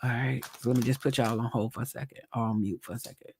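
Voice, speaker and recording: A man's voice: medium pitch, fairly even intonation, fast, creaky, a little mellow, a little hiss or hum. A man hosting a live stream, chatting casually and thinking aloud with a congested, nasal voice. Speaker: livestream host. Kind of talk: live commentary on a court trial.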